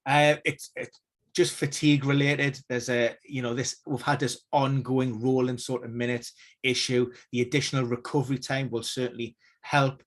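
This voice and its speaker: A man giving injury news analysis on a casual live stream, measured and hedging, with many fillers and restarts. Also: mid-range voice, level tone.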